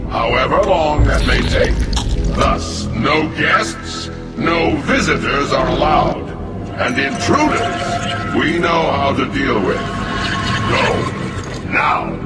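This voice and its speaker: Sanctimonious jailer, raised voice with wide emphatic swings, officious and threatening, loud music behind. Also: male voice, fairly high pitch, reverberant. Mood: stern warning. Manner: declamatory, officious, sharply emphatic. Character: stern, sanctimonious male prison warden, rigid and menacing. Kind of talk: scripted video game acting.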